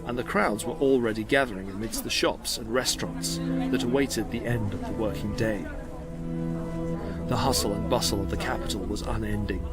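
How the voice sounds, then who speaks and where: Male narrator for audio fiction: fairly low register, dramatic scripted read, set over a prominent music score. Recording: loud music behind the voice.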